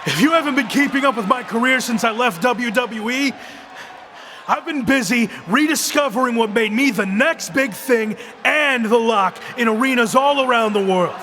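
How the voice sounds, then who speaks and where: An actor playing a cocky wrestler who cuts an emphatic, boastful ring promo in a game cutscene. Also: male voice, extremely high pitch, moderate pace, bright, forceful tone, a little hiss or hum. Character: cocky young male wrestler making a comeback.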